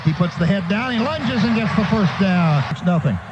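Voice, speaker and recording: A man's voice: high voice, lively intonation, normal speed, dull audio. A man doing live radio play-by-play of a football game, describing the action in short clipped phrases. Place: stadium broadcast booth. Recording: noisy live stadium radio broadcast.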